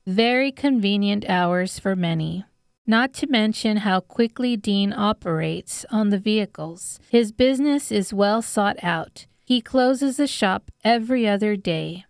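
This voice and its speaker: Unhurried, clear-voiced woman narrating. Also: mid-range voice, lively intonation, no echo, studio quality. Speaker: author narrating her own audiobook. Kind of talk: scripted narration.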